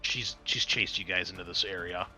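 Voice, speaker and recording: A man narrating and refereeing a tabletop roleplaying game as game master, in an informal, excitable way. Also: low-pitched, moderate pace, faint music behind.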